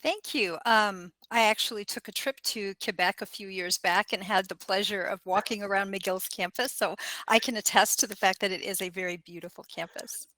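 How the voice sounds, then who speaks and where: A woman moderating a university admissions panel, asking clear, prepared questions. Her voice is bright and forceful. The sound is dry and close, with no room echo. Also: medium pitch, fairly even intonation, normal speed, few pauses.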